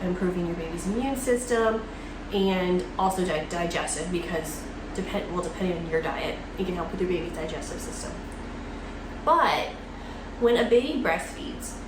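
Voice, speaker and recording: A young adult woman getting over a cold, giving a casual, halting educational talk to camera as a dental hygienist vlogger from the United States. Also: lowish voice. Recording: echoey room with loud background noise.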